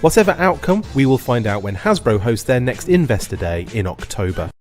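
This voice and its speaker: A man's voice: medium pitch, a little fast. Man reading a news segment over music. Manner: even, read-out delivery. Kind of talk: scripted news narration.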